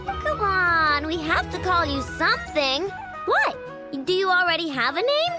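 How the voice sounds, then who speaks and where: A woman voicing an excitable, cocky young girl inventor in a video game, bubbly and boastful, full of exclamations. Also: extremely high pitch, measured pace, loud music behind the voice, exaggerated voice. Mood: curious.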